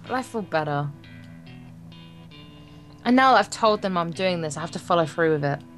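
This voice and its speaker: A woman's voice: on the low side, expressive, normal speed, fairly bright tone, faint music behind. A woman reading a visual novel aloud for a gameplay video, in a playful, theatrical way with crude joking asides.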